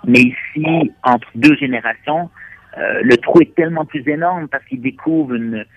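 A man in his thirties from Montreal with a Québécois accent, answering interview questions in a halting way full of fillers and repeated words. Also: medium pitch, narrow, tinny audio, slight background noise. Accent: Québécois French. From Quebec, Montreal. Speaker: guest, Canadian expatriate.

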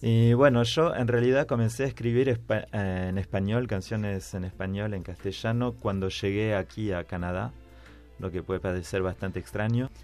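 A man's voice: on the low side, steady tone, normal speed, a little mellow, faint music behind. A man in his forties from Argentina, with an Argentine Spanish accent, telling his life story as a musician guest in a radio interview, hesitating and repeating words as he talks.

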